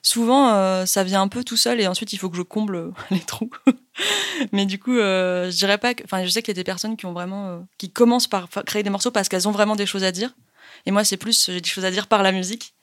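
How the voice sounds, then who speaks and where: A woman's voice: mid-range voice, fairly even intonation, fast talker, no room sound, clean studio sound. A woman singer-songwriter answering interview questions in a hesitant, chatty way, with many fillers and frequent laughs. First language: Spanish.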